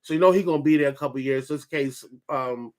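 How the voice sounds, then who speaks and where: A man's voice: a little high, fast talker. Light-voiced man hosting profane sports banter. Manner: loose, profane, talks over others. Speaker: podcast host.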